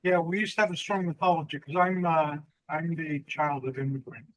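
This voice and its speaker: A male host interviewing a candidate, reflective and measured, with occasional fillers. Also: a little high, steady tone, no room sound, rough recording. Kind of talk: interview hosting.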